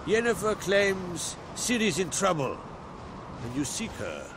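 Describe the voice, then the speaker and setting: An actor playing an older island king and father in a video game cutscene, speaking in a steady, measured, paternal way. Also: sits high, background noise, full character voice. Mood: calm, paternal. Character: older jarl, father of two grown children. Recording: game audio with music behind.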